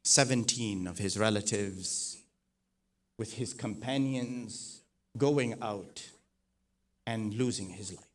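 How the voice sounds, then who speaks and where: Middle-aged male preacher, recounts a tradition, builds from hushed to forceful. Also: fairly low pitch, takes it slowly, pauses often. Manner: swells from soft to loud, rising emphatic repetition. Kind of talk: mourning sermon with narrated tradition.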